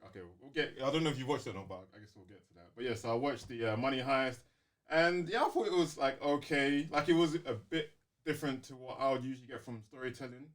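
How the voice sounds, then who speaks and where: A man's voice: mid-range voice, quick pace, a bit bright. A man chatting casually on a podcast, talking in a hesitant, rambling, noncommittal way. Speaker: podcast co-host. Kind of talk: casual chat.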